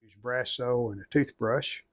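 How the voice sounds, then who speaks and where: A man's voice: mid-range voice, a little slow. A man narrating a hands-on restoration casually, thinking aloud in short, plainspoken phrases. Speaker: restoration video host.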